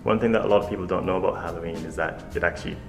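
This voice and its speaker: An Irish man co-hosts a radio program in a relaxed, chatty way, full of ums and likes. His voice sits very low and he talks fast. Soft music plays faintly behind him. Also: vocal fry, roomy sound. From Ireland. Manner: relaxed, chatty, many fillers. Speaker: radio co-host. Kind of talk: casual radio chat.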